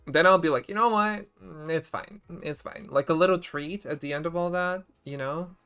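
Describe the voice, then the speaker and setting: A man's voice: a little high, normal speed, bright, forceful tone, clear, resonant tone, soft background music. A man streaming a video game with casual, joking, wry commentary.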